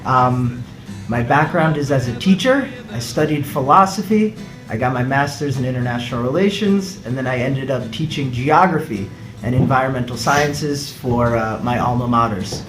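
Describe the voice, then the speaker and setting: A man, a startup co-founder giving an earnest pitch with rhetorical questions. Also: lively intonation, normal speed. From United States. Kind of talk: scripted pitch video. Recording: echoey room, faint music behind.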